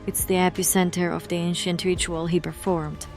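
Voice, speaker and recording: A woman's voice: fairly low pitch, flat delivery, normal speed, a little mellow, soft background music, natural voice. A woman playing a grave, knowing investigator of the occult who explains things in formal words in an audio drama. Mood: grave, ominous.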